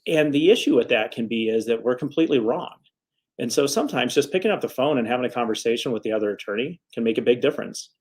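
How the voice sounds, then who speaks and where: A man's voice: medium pitch, animated, fast talker, darkish tone, slightly rough. Man giving a casual, earnest advice talk. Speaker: attorney speaking to followers. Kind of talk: casual advice vlog to camera. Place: office.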